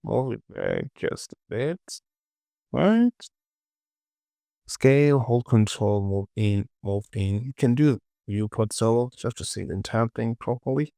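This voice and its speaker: A man narrating a casual step-by-step software tutorial in short instructions, often repeating phrases and asking himself questions. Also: medium pitch, lively intonation, measured pace, vocal fry, no room sound, clean studio sound.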